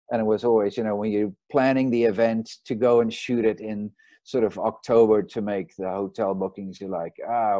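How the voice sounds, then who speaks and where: A man, a sailing photographer, giving a relaxed, rambling slideshow talk over a video call. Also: on the low side, dry recording. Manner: rambling, relaxed, with fillers and restarts. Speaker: marine photographer giving a lecture. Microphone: video call mic.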